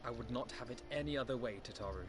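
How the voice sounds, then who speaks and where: A man's voice: medium pitch, fast, over music, natural voice. An actor playing a courteous, earnest young nobleman in a fantasy game, formal and polite.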